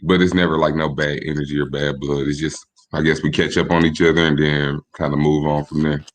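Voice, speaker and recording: A young man in his late twenties with a very deep, low voice answers questions in a relaxed, laid-back way. He pauses and restarts his sentences often. He grew up in Michigan. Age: 20s. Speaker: podcast guest. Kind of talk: casual interview chat.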